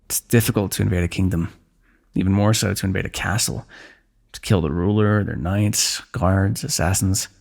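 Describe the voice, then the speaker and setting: A man playing a low, gravelly, weary fantasy warrior. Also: steady tone, darkish tone. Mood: resolute. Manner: measured, dry teasing, formal fantasy diction. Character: weary, wry fantasy warrior plotting against a kingdom. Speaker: ASMR voice actor. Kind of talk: ASMR fantasy roleplay, one-sided dialogue.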